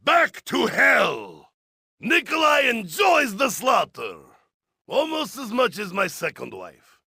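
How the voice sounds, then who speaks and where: Brief: high, raspy, gravelly drunk Russian brute, bellowing battle taunts in broken English for a game. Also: male voice, wildly swooping pitch, slow pace, no echo, studio quality, heavily acted. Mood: boastful crude. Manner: shouting gleefully, broken English without articles. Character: boisterous drunk Russian Cossack zombie-slayer, crude, boastful. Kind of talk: video game character voice lines.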